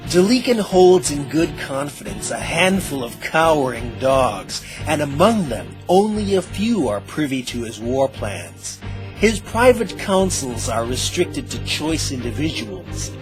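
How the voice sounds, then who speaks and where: A man's voice: on the high side, very animated, unhurried, music in the background, rough recording. Man as a proud, grim elven warrior. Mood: grim, insistent. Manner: archaic, declamatory. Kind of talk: fan radio play acting.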